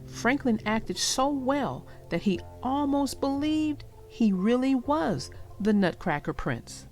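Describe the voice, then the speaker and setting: A woman narrating for young children in an expressive, reassuring way, as if reading aloud and talking directly to them. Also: fairly high pitch, takes it slowly, faint music behind. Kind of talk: read-aloud storytelling and advice to young listeners.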